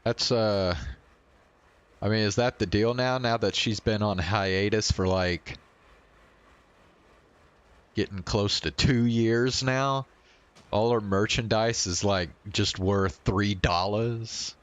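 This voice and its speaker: Male streamer, deep register, unhurried, slangy, laid-back, halting casual chat.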